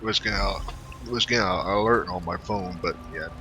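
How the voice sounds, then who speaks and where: Low-voiced man rambling over gameplay. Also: moderate pace, pushed and bright. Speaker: gamer narrating his playthrough. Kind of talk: casual, rambling gameplay commentary. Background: game music.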